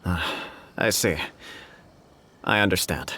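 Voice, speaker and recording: An actor playing a courteous, formal clan official in a video game, speaking politely and with measured phrasing. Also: male voice, deep voice, sharp tone, a little hiss or hum, exaggerated voice. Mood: curious, accepting.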